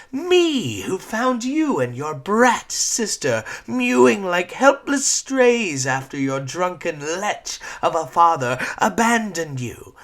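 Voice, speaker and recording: A man voicing a cruel, imperious female spirit master in a shrill, furious tirade. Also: a bit bright, heavily acted.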